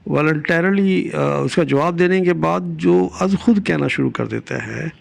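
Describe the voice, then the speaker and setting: An older man with a Pakistani accent gives a deliberate, didactic law lecture, repeating key phrases and switching into English legal terms. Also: a little high, creaky. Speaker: retired judge giving legal lessons.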